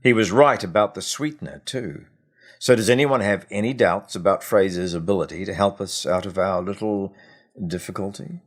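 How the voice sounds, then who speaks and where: A man voicing a brisk, businesslike male company boss who takes charge in a story. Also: deep voice.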